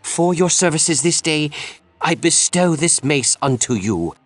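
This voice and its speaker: An actor playing a grave, anguished village priest in a video game, speaking in a solemn, theatrical, old-fashioned way. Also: male voice, fairly high pitch, expressive, a little slow, dry recording. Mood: solemn, grateful. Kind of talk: dramatic video game dialogue.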